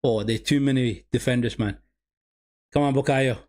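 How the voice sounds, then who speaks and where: A man's voice: medium pitch, moderate pace, darkish tone. A man whose first language is Somali, running a live football watch-along and calling the game for his viewers, often repeating his phrases. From Sweden.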